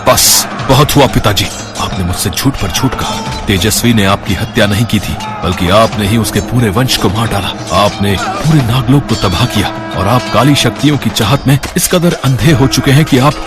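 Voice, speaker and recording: आदमी की आवाज़ में आहत, जोशीला युवा राजा, नीची आवाज़. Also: male voice, lowish voice, moderate pace, hardly any pauses, over loud music, lightly acted. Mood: bitter anger. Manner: impassioned, accusing. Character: betrayed young king, angry devoted husband and son. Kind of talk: dramatic storybook voice acting.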